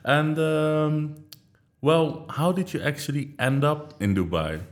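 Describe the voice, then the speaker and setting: Lively man hosting a podcast. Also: on the high side, takes it slowly. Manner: lively questioning with many fillers. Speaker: podcast host. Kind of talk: casual podcast interview.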